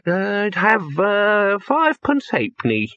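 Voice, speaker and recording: A man voicing a very old, rambling male wizard who reminisces in a high, quavering, chuckling voice. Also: huge pitch swings, takes it slowly, sharp tone, dry recording, exaggerated voice. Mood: reminiscing. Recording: dull lo-fi, dry.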